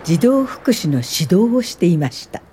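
A woman playing a composed, politely firm older welfare pioneer in a radio drama, with measured narration and dialogue. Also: on the low side, big pitch swings, noisy background. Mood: solemn, reminiscent.

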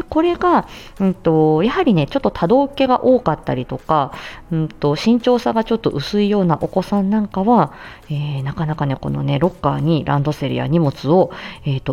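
A woman talks on her own in a casual, explanatory way. Her voice is fairly low and mellow, with very lively swings in pitch. She speaks emphatically, as if checking that the listener follows, on a slightly muffled recording. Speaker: speech therapist, audio show host. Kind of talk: casual explanatory solo talk.

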